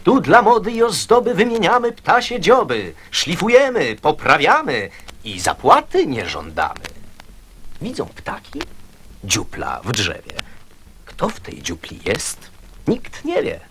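A man's voice: high voice, wildly swooping pitch, unhurried, a bit bright, slight background noise. A man theatrically reciting a poem for children. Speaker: poetry reciter.

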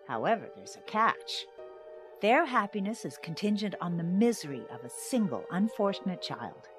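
A woman playing a wise teacher who tells a dark parable in a deliberate, didactic audio drama style. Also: mid-range voice, natural voice.

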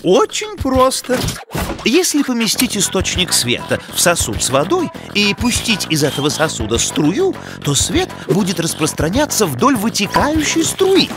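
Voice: medium pitch, wildly swooping pitch, measured pace. An actor voicing an earnest, brainy young cartoon animal who explains science in a precise, didactic way with small hesitations. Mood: earnest, explaining. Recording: background music under the voice.

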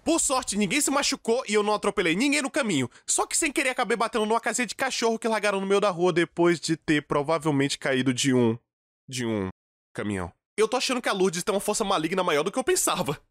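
A man with a Brazilian accent narrating a comedic animated story in a theatrical, exaggerated way. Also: quick pace, dry recording, studio quality. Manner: theatrical, exaggerated, swinging from low mutters to shrill outbursts.

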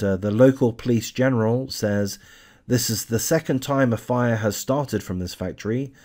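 Man, lowish voice, casual true crime commentary, hesitant, blunt. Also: darkish tone. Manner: hesitant, with many fillers and restarts; blunt and opinionated. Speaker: true crime channel host. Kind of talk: casual commentary reading a news article aloud.

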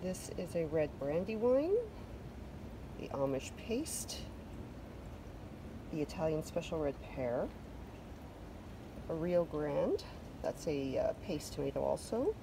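A woman's voice: sits low, very animated, takes it slowly, gravelly, long pauses. Chatty Canadian woman on a noisy deck. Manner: chatty, self-deprecating, loose fillers. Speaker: vlogger. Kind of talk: casual garden vlog chat. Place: covered wooden deck outdoors.

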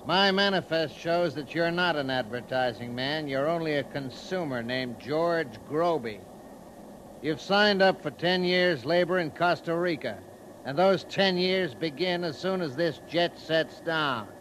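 A man's voice: fairly high pitch, a little slow, fairly bright tone. An American man playing a scornful, domineering ship's officer in a 1950s radio drama. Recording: noisy vintage 1950s radio recording.

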